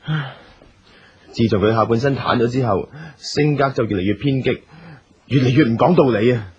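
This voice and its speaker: A man with a Hong Kong Cantonese accent playing a calm, modest second brother from a business family in a radio drama. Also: mid-range voice, normal speed, muffled recording, natural voice. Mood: discontented.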